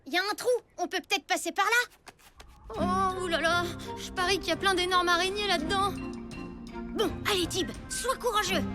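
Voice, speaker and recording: A woman's voice: extremely high pitch, steady tone, fairly quick. A woman voicing a plucky, boastful but easily scared young boy in a French children's cartoon. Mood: nervous, brave. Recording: music playing behind the voice.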